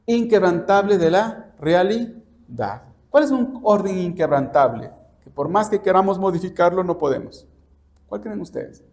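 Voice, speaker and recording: A man's voice: high-pitched, animated, measured pace, a little mellow. A man preaching an expository sermon like a lesson, didactic and often checking understanding with questions. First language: Spanish. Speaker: church preacher and Bible teacher.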